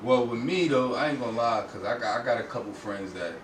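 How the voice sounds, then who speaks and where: A man taking part in a podcast, chatting casually in a low-key, slangy way. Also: fast talker. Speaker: podcast participant. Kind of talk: casual chat. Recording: echoey, distant mic, noisy room.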